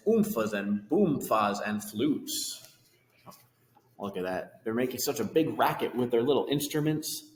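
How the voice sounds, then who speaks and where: A man reading a children's storybook aloud, with casual asides about the pictures. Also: medium pitch. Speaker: reader of a children's book. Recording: echoey room with long reverb.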